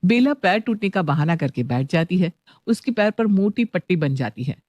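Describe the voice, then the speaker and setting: Lower-pitched woman narrating a story. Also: big pitch swings, moderate pace, darkish tone, no room sound, muffled recording.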